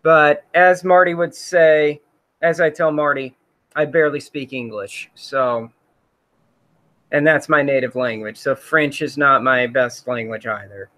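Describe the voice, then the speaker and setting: A man's voice: mid-range voice, steady tone, a little slow, pushed and bright. Chatty man hosting a livestream. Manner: rambling, many fillers, reads chat comments aloud. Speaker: host. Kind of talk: casual livestream chat.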